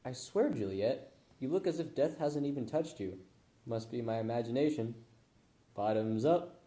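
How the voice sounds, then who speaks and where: A man's voice: medium pitch, lively intonation, moderate pace, dark tone, close to an everyday voice. A man as an echoey, intense young nobleman. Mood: wistful. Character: threatening, lovelorn young nobleman in a Shakespeare puppet play. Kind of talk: amateur puppet show acting. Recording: roomy echo.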